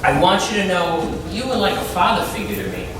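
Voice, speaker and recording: A man acting on a community theatre stage as an earnest, excitable, grateful ex-convict, speaking emphatically with halting pauses. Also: high voice. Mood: earnest, reflective. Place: indoor theatre stage, mic far off. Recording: distant, very echoey stage recording with clear noise.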